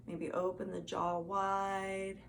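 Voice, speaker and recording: A woman gently guiding a meditation as a teacher, in a soothing, soft and unhurried manner. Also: mid-range voice, level tone, gravelly. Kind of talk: guided meditation instruction. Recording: faint background noise.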